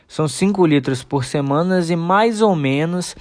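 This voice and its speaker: Brazilian schoolboy played by an amateur actor for radio play: precise explainer, slightly high-pitched, matter-of-fact. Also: male voice, moderate pace, lightly acted. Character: male student, precise, teasing classmate. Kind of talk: scripted amateur radio drama.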